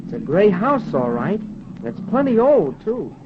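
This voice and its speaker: An actor playing an eager, polite schoolboy son in a 1930s radio drama, with an earnest boyish manner. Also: male voice, high voice, big pitch swings, measured pace, background noise, full character voice. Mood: earnest, uneasy. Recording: dull, worn 1930s radio recording.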